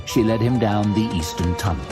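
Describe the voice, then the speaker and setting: Deep-voiced dramatic male narrator. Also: moderate pace, over music. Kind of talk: dramatic scripted fantasy narration.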